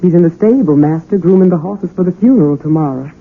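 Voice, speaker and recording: A woman's voice: fairly low pitch, quick pace, husky. An actress playing an anxious, deferential older housekeeper and protective mother in a 1970s radio drama, hesitant and folksy. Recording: thin, phone-like 1970s radio recording.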